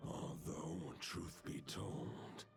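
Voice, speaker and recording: An actor voicing an ancient, solemn wolf spirit in a video game, with a gravelly, breathy voice and formal, archaic phrasing. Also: male voice, very deep voice, drawn-out words, loud music behind the voice, full character voice. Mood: solemn, commanding. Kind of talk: scripted video game voice acting.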